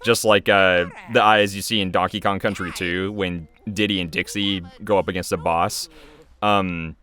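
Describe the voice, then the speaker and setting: A man's voice: low-pitched, fairly even intonation, normal speed, pushed and bright. A man giving casual, unscripted commentary as a video creator, rambling and chuckling in a conversational way.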